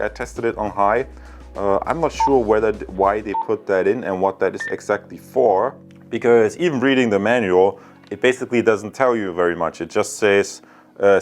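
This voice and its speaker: A man from Europe giving a casual tech product review in a hesitant, rambling way. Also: lowish voice.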